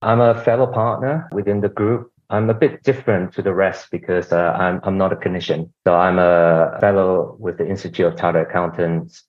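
A man's voice: sits low, flat delivery, moderate pace, hardly any pauses. A middle-aged man from Singapore, a podcast guest, explaining his organisation in a conversational way and often adding "you know". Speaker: guest, healthcare executive. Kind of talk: conversational interview answers. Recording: dull lo-fi audio.

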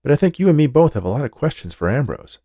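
A man's voice: mid-range voice, very animated, quick pace, dark tone, dry recording, studio quality, pitched above the performer's own voice. A man playing a bewildered young male artist, who sounds startled and puzzled.

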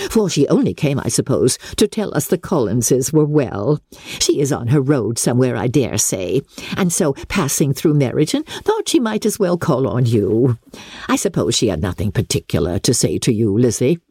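A woman's voice: very deep voice, sing-song, normal speed, dark tone, husky, no room sound, clean studio sound, full character voice. Woman acting chattering, fussy middle-aged mother. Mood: chatty, pleased. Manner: chattering, gossipy. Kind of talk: dramatic classic novel reading.

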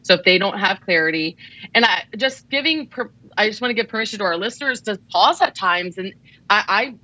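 A woman's voice: mid-range voice, animated, brisk, sharp tone, no room sound, poor audio. A woman co-hosting a podcast, chatting casually and affirming her co-hosts while giving advice. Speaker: podcast co-host.

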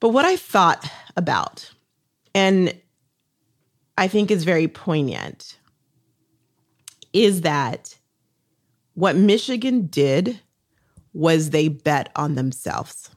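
Woman coach hosting her own podcast, a bit low-voiced, talks eagerly and circles around her points. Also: expressive, slow, long pauses. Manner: enthusiastic, rambling, many restarts. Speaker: podcast host and social selling coach. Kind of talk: podcast chat.

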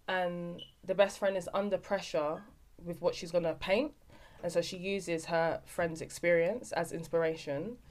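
A young woman chats as a podcast guest about her acting projects. Her voice sits a little low for a woman. She talks freely and often stops and restarts a sentence as she explains. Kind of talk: casual chat.

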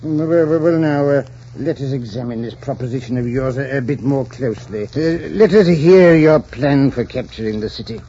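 An actor playing a pompous, flustered middle-aged colonial governor with a blustering, stammering delivery, in 1940s radio style. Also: male voice, mid-range voice, normal speed, dark tone, lightly acted. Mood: grudging, hedging. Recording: dull, noisy 1940s radio recording.

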